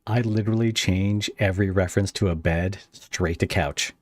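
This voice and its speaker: A man's voice: deep voice, normal speed, no echo. A man hosting a podcast, chatting in an easygoing, joking way and laughing often. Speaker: podcast host. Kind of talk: casual podcast chat.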